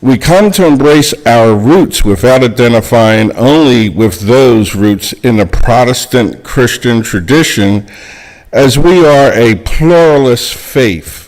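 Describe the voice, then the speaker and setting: A man reads the call to worship in a church sanctuary. He speaks at a very slow, deliberate pace in a mid-range voice, solemn and measured. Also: mid-range voice, a little mellow, low-quality recording. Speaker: service co-leader.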